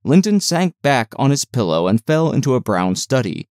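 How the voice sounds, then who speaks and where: Male narrator, literary audiobook, mid-range voice, expressive storytelling, lively intonation. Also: mid-range voice, moderate pace, smooth, no echo, clean studio sound.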